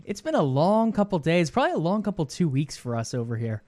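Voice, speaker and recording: Male podcast co-host, easygoing and tired, slightly high-pitched, dry close mic. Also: sing-song, fast, a little mellow, no room sound. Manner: easygoing, laughs often. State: tired, throat worn. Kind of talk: casual gaming podcast chat.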